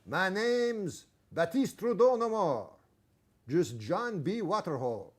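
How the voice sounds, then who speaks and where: A man's voice: sits high, animated, slow pace, full character voice. A man voicing a vain, aloof returned emigrant who speaks with a French-Canadian accent. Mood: haughty. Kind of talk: dialect poem recitation.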